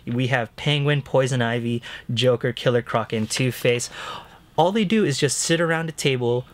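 A man in his thirties co-hosts a casual review discussion. His voice sits at a medium pitch. He talks in a conversational, enthusiastic way, as if going through a list with a friend. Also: normal speed. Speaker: co-host of a film and TV review show. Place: indoor room lined with shelves of books and discs.

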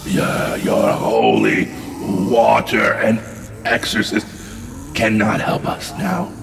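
An actor playing an exasperated, centuries-old zombie colonel in a comic horror audio drama, with a theatrical, menacing delivery. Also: male voice, on the low side, expressive, takes it slowly, vocal fry, fairly bright tone, soft background music, heavily acted. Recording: long reverberant hall echo.

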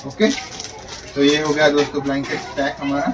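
A man's voice: fairly high pitch, completely flat delivery, fairly quick. A man in his thirties, a laundry shop owner, casually explaining a task step by step to his viewers as friends, recorded indoors in a small shop. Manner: chatty, keeps addressing viewers as friends. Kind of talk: casual how-to demonstration. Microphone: camera mic at a distance. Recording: distant mic with street and traffic noise.

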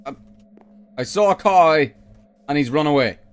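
A man playing an excitable, complaining, bumbling dad stranded on an island in an improvised game roleplay, dramatic in manner. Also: fairly high pitch, normal speed, clear, resonant tone, lightly acted. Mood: excited.

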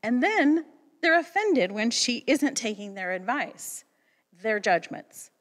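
A woman's voice: a little high, very animated, slow pace. Woman preaching in a reverberant church. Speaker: guest pastor. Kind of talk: sermon. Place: church sanctuary at a pulpit. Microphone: gooseneck pulpit mic.

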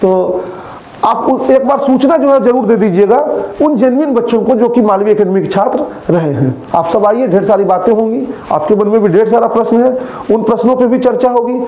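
A man's voice: very high voice, a little fast, dark tone, echoey room, lo-fi sound. A young man, a coaching teacher, chatting casually to his students and speaking to them directly, filmed indoors in front of a screen.

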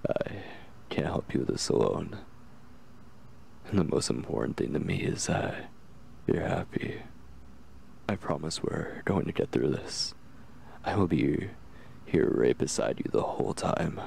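A young man playing an obsessive yet tender, anxious kidnapper in an intimate ASMR roleplay, speaking softly and hesitantly in a gravelly, reassuring voice. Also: deep voice, a little hiss or hum, full character voice. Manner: hesitant, halting, gently reassuring.

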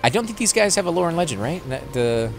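A man's voice: on the high side, very animated, gravelly, soft background music. A man with a Texan accent hosting a casual let's-play, chatting and rambling as he plays. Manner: chatty, rambling, quick to laugh. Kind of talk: casual gameplay commentary.

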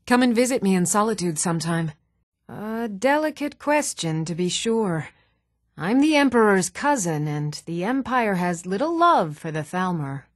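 A woman playing poised, articulate townswomen and noblewomen in a fantasy video game, with measured, formal delivery. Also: medium pitch, very animated, dry recording, studio quality, lightly acted. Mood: polite, composed. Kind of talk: scripted fantasy game dialogue.

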